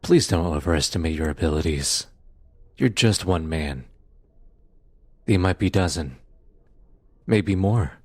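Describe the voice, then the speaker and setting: A man playing a firm, matter-of-fact police detective in an audio roleplay drama, with a measured, official manner and a husky voice. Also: low voice, expressive, no echo. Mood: measured, persuasive.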